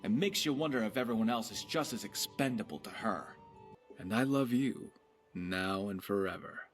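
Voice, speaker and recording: An actor playing a dashing, playful pirate rogue in a video game, wry, boastful and theatrical. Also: male voice, fairly low pitch, normal speed, soft background music, natural voice. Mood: warm, sly. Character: dashing cocky young male pirate rogue, playful and tender. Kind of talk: voiced game character dialogue.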